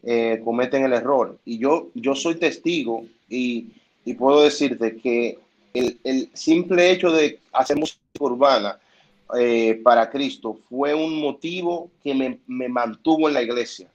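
A man from the Dominican Republic speaking calmly and measuredly on a video call, with pauses and hesitations. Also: medium pitch, highs cut off. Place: home room, indoors. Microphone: laptop webcam on video call.

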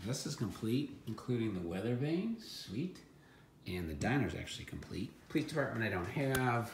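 Deep, gravelly, wry man. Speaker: reseller showing thrift finds. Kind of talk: casual off-the-cuff unboxing commentary. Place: indoor room at home, at a table. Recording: noisy home recording with handling clicks.

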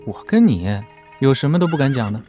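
An actor playing an informal, expressive young prince who leans on his adviser, talking in a familiar, colloquial way in a wuxia audio drama. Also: medium pitch, fairly quick, slightly rough, soft background music. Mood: pleading, reluctant.

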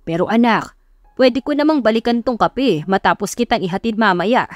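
A woman narrator voices a caring Filipino dad in an audio love story. He is gently insistent, and the sound is dry with music behind. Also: on the high side, expressive, normal speed, dry recording, lightly acted. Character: caring, insistent middle-aged father, a jeepney driver.

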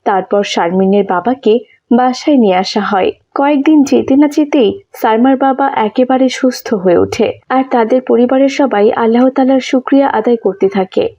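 A woman's voice: a little high, moderate pace, dark tone, lo-fi sound. A woman narrator reading a scripted story for children.

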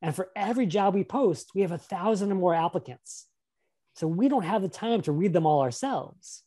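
A man's voice: high-pitched, animated, normal speed, mellow. A man presenting a career webinar over a video call, upbeat and encouraging, often putting questions to a volunteer. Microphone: webcam on a video call.

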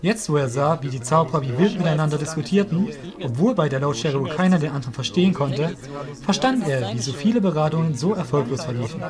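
A man reads scripted narration for a fantasy audio play. His voice sits somewhat high for a male. Music plays behind his storytelling. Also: animated, fast talker.

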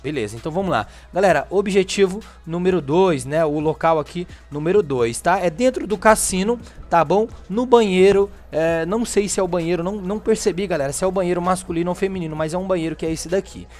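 Brazilian gamer guy doing a casual walkthrough, kinda high voice, chatty, bit of music behind. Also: fast talker, few pauses, darkish tone. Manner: informal, chatty, frequent tag questions. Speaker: gaming channel host. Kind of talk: casual video game walkthrough commentary.

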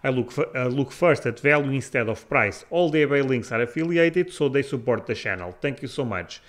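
A man whose first language is not English, hosting a soccer card channel and giving his opinions over slides, halting with many fillers and restarts. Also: mid-range voice, lively intonation.

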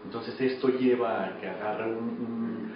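A man's voice: medium pitch, fairly even intonation, slow pace. A man in his thirties with a Mexican accent, an actor answering casually in an interview. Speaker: radio drama actor being interviewed. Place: small office. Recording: echoey, distant, muffled, noisy camera audio.

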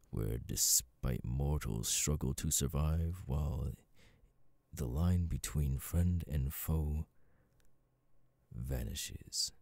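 A man performing an ominous horror narrator, reading in a grave, dramatic, drawn-out and florid way. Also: very deep voice, gravelly, a little mellow, dry recording, voice pushed down, exaggerated voice.

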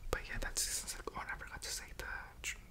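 Young guy whispering asmr style, real casual n rambly, swears a bit, right up on the mic. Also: very fast. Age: young adult. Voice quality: breathy, unvoiced whisper. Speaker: ASMR video creator and reviewer. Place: room at home, indoors. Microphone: large desktop USB microphone, close up.

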